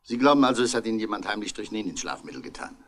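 Gruff detective chief: low, lively intonation, terse and shrewd, vintage radio crime play. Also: male voice, racing. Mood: probing, calm. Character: gruff, shrewd male police commissioner, dryly patient. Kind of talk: German 1960s radio drama acting.

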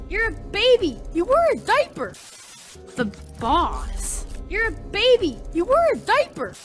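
An actor voicing an indignant, dramatic young boy in an animated film, exclaiming in disbelief. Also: extremely high pitch, full character voice. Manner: exclamatory, incredulous. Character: indignant, dramatic seven-year-old boy, big brother.

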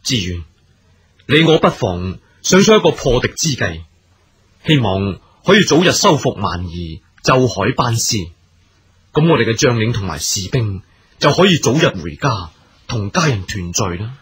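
Period radio drama: grave marshal, very rough husky voice, expressive intonation, weighty Hong Kong Cantonese delivery. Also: male voice, medium pitch, exaggerated voice. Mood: grave, concerned. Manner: measured, formal, weighty. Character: grave, deliberate army commander in ancient China. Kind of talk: theatrical radio drama acting.

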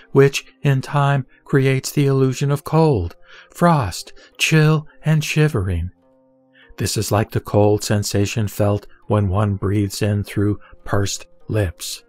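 A man with a mid-pitched voice recites scripture in a solemn, scripted style. His phrasing is deliberate and measured, with pauses between items. The recording is dry, with soft music underneath. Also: no echo. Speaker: sutra reciter. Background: background music.

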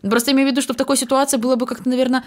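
A woman's voice: sits high, flat delivery, rapid-fire. A young woman chatting casually as she comments on a film as co-host of a reaction video. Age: young adult. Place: small home streaming room.

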